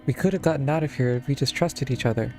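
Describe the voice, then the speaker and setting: A man's voice: mid-range voice, fast. A man streaming a video game, casually reading choices aloud in an understated, laconic way. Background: faint game music.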